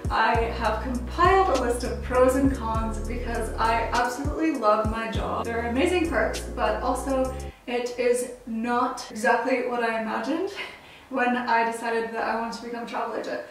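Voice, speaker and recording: A woman's voice: a little high, fairly even intonation, normal speed, distant mic, over music. Chatty young American woman, echoey room. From United States. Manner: chatty and upbeat, frequent "like" fillers, laughs easily. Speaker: travel vlogger and travel agent. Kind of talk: casual talk to camera. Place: small indoor room at home.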